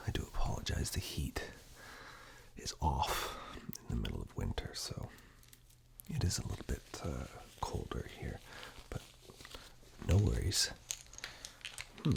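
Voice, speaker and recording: A man doing soft-spoken, half-whispered ASMR roleplay, reassuring and unhurried, speaking directly to the listener close to a binaural microphone. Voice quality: breathy whisper mixed with very deep, gravelly murmur. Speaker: ASMR performer playing caregiver and eye examiner roles.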